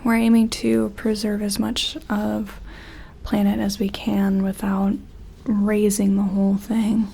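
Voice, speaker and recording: A woman doing a gentle ASMR roleplay as a careful scientist examining an alien, speaking softly and often slipping into a whisper, hesitant and reassuring. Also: mid-range voice, completely flat delivery, slow pace. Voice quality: soft, breathy, often dropping to a whisper.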